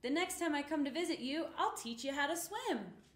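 Lady reading as a friendly excitable penguin kid in a storybook, voice bouncing around, echoey room. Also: high voice, normal speed, pitched above the performer's own voice. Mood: cheerful. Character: eager, friendly young penguin adventurer. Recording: roomy, echoing indoor sound.

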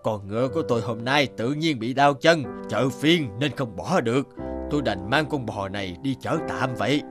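A man voicing a worried farmer in an animated folk tale, with drawn-out, fretful exclamations. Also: medium pitch, music in the background, lightly acted. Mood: resigned. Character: worried bearded farmer talking to his horse.

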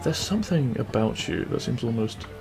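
A man playing a cheeky, kind-hearted bandit prince in an intimate audio roleplay, with a gravelly voice and a teasing, reassuring manner. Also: mid-range voice, animated, moderate pace, music in the background. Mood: tender, flirtatious.

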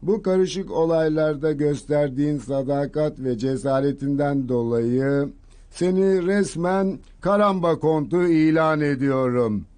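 A befuddled old cartoon king who hums and mumbles "hmm" as he thinks, his voice pitched a little high and his speech slow and deliberate, as a man voices him. He sounds gruff and fussy but easily swayed. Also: dark tone, close to an everyday voice. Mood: solemn. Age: older. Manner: mumbling hmms, chuckling. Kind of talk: Turkish cartoon dub.

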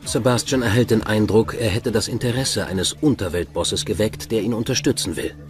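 A man's voice: fairly low pitch, normal speed. A man delivering scripted narration for a true-crime documentary. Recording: music bed behind narration. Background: music.

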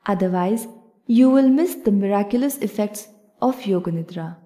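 A woman yoga instructor leading a guided meditation in a calm, measured, instructional way. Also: medium pitch.

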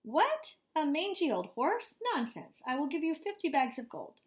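A woman's voice: high voice, big pitch swings, moderate pace. A woman reading aloud as an old king and father who exclaims in indignation. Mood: indignant.

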